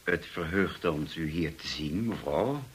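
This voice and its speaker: An actor playing an elderly, dignified butler in a radio play, formal and deferential. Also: male voice, very deep voice, animated, a little slow, breathy edge, full character voice. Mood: courteous. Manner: formal, servile.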